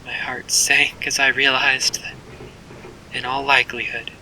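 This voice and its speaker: A man performs an earnest adventurer telling his own story for audio fiction. The voice is middle-pitched with a forceful, bright edge, and the delivery is measured and dramatic. A faint rumble runs underneath. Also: level tone, low-quality recording. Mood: solemn. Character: earnest male adventurer recounting his journey. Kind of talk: first-person narration in audio fiction.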